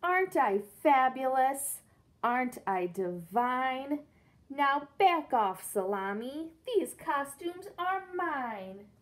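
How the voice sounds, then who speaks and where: A woman voicing a vain, bossy male pug who boasts and demands in drawn-out words. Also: high voice, expressive. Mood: boastful.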